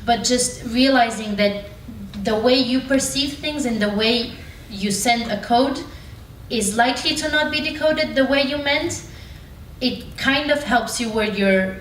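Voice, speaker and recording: Woman explaining her point in a discussion, echoey room, far from the mic. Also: medium pitch. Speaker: discussion participant. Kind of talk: spontaneous explanatory comment. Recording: echoey room, distant mic, faint noise.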